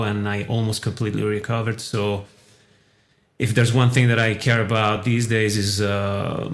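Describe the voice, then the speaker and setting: Low-voiced man chatting casually. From Italy. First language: Italian. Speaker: livestream guest. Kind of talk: casual chat.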